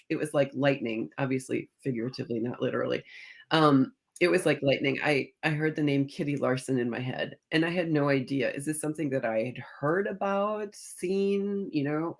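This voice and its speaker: Middle aged lady author in an interview, chatty storyteller, does the back and forth dialogue. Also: sits low. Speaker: guest, historical fiction author.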